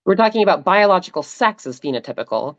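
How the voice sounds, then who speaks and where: A woman streamer debating a stranger, measured and precise, making her points carefully. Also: on the low side, sing-song, no echo, dull audio. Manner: measured, precise, cites a textbook. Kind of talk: calm argumentative debate.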